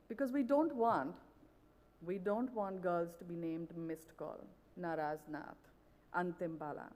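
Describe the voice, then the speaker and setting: A woman's voice: on the low side, long reverb tail. A woman giving an earnest, persuasive talk on stage at a conference, often saying "you know". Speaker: conference speaker. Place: large event hall.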